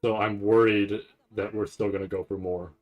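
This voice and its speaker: A man giving casual, rambling live commentary as a streamer, halting and restarting often as he thinks aloud. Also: on the low side, little pitch movement. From Canada. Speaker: streamer reacting to a live anime event.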